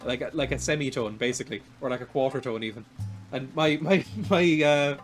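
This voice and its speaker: A young man in his twenties with a light, naturally high voice, rambling and laughing at himself while he streams a video game. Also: brisk, faint music behind. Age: 20s. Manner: rambling and self-deprecating, laughs often. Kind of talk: casual chat while playing a video game.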